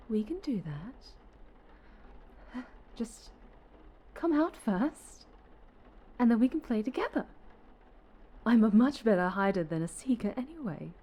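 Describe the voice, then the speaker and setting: Woman acting a slow, menacing, possessive dark superheroine. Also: fairly high pitch, heavily acted. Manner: slow, halting, menacing delivery, often dropping into whispers. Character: possessive, obsessive, sinister female superhero, a dark angel captor. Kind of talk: ASMR roleplay acting.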